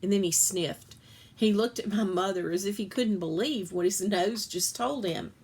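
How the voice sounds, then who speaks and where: Casting: female story reader, medium pitch, expressive read-aloud narration for children. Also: normal speed, breathy edge.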